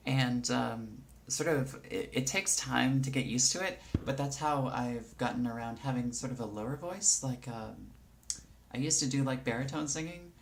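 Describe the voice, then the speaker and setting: Low-voiced woman, rambling casual tips. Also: normal speed. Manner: relaxed, rambling with many fillers. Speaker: vlogger. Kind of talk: casual tutorial on voice and singing. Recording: faint background noise.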